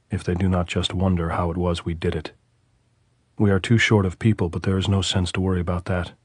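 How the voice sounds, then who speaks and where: A man doing a quiet, tender soldier, deep and husky. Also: dry recording. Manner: soft, tender, measured. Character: calm tired male soldier speaking tenderly to his lover.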